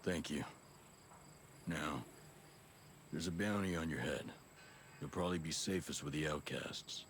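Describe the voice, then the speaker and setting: An actor playing a calm special forces soldier in a video game, low-key, reassuring and businesslike. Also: male voice, unhurried, pauses often, a little hiss or hum. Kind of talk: video game cutscene acting.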